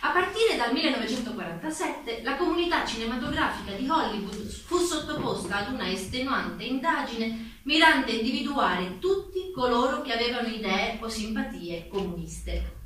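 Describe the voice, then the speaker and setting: A woman's voice: fairly high pitch, expressive, normal speed, fairly bright tone. A woman playing a sober narrator of historical events, reading scripted stage narration in a formal, declamatory way. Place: theatre stage, recorded from afar. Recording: very echoey distant stage recording, faint noise.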